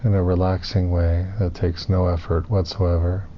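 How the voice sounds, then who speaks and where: A man leading a guided meditation as a teacher, soothing and unhurried, pausing between phrases. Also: sits low, little pitch movement. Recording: muffled, with clear background noise.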